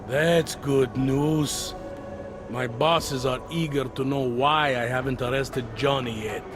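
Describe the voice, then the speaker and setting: An actor playing a gruff, skeptical police detective in a video game, blunt and measured as he questions things. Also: medium pitch, fairly even intonation, music in the background, lightly acted. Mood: skeptical, probing. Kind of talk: video game cutscene acting.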